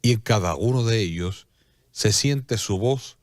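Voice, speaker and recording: A man narrating a scripted radio drama in a solemn, measured storytelling manner. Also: fairly low pitch, no room sound, studio quality. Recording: clear music bed behind.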